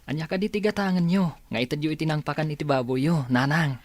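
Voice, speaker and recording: A man's voice: on the high side, quick pace, no echo, lightly acted. A man playing a dutiful student son helping his mother in an Ilocano radio drama.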